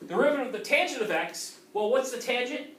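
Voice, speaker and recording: A man's voice: extremely high pitch, animated, quick pace, noisy background. A male math teacher lecturing a class, often checking in with "okay?". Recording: roomy classroom audio from a distant camera.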